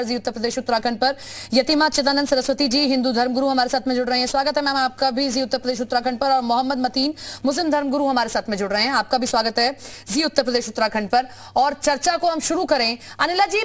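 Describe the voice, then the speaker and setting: High-voiced woman news anchor. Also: fairly bright tone. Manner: brisk, formal. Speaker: news anchor and debate moderator. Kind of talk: TV news debate hosting.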